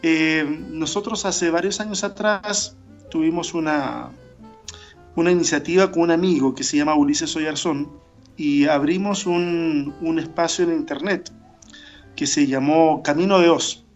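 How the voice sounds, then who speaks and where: A man with a fairly high voice, talking as a radio guest, thoughtful and full of hesitations and restarts. His voice comes over a dull, lo-fi remote line. Soft music plays faintly underneath. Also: a little slow. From born in Argentina, raised in Chile. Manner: reflective, many fillers and restarts. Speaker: pastor and interview guest. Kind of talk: casual interview over a remote call.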